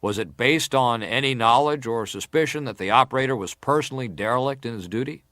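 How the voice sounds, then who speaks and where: Formal senator played by an actor, probing. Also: male voice, medium pitch, a little fast, a bit bright, dry recording, studio quality, natural voice. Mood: insistent, stern. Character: persistent, formal senator questioning a witness at an inquiry. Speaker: interrogator at a hearing. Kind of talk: dramatised reading of hearing testimony.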